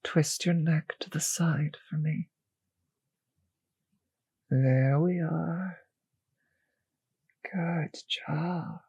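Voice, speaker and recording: A woman voicing a seductive, possessive vampire mistress in an intimate ASMR roleplay, coaxing and commanding in a breathy voice that often slips into whispers. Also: sits low, fairly even intonation, mellow, dry recording, studio quality, full character voice. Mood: tender, hypnotic. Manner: slow coaxing, teasing, commanding. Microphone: close microphone, dry.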